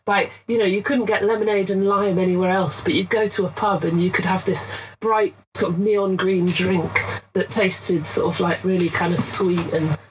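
A woman's voice: medium pitch, steady tone, moderate pace, a little hiss or hum, low-quality recording. A woman, a guest artist in a casual podcast chat, telling rambling stories and laughing often. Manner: chatty, rambling storyteller who laughs often.

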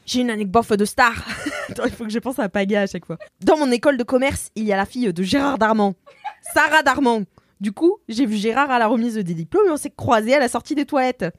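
A woman's voice: a little high, fast, no room sound, studio quality. A woman hosting a casual podcast, chatty and laughing often as she reads listener messages aloud. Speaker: podcast host.

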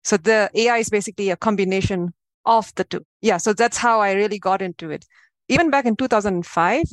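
A woman in her thirties from Malaysia, a podcast guest explaining her work in a measured way. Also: medium pitch, no room sound. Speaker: guest, AI advisor. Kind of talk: interview answers.

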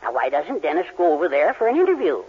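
A man's voice: a little high, wildly swooping pitch, quick pace, slightly rough, exaggerated voice. An actor playing a henpecked, cheerful middle-aged father in a 1940s radio sitcom, meek, doting and droll. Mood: meekly cheerful. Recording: muffled 1940s radio, faint noise.

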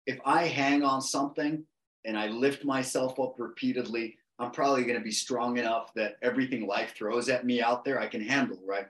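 A man's voice: medium pitch, fairly bright tone, poor audio. A man in his forties, a podcast guest, talking in a passionate, emphatic way and swearing for emphasis. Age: 40s. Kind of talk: casual interview talk.

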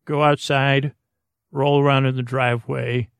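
A man's voice: medium pitch, flat delivery, unhurried, gravelly, smooth, no room sound, clean studio sound. A man voicing a contented, chatty female pet dog in a rambling, matter-of-fact way for a podcast story.

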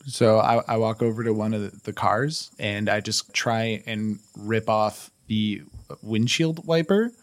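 A tabletop player casually narrating their own character's moves in a loose, halting way. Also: mid-range voice. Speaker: player in a tabletop roleplay game. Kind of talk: casual first-person game narration.

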